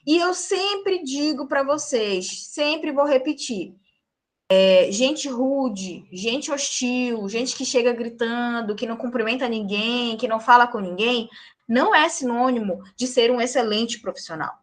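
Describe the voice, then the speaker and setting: A woman's voice: a little high, measured pace. A young woman, a law lecturer and practicing lawyer, giving a class in an emphatic way and checking in with her students after each point. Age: young adult.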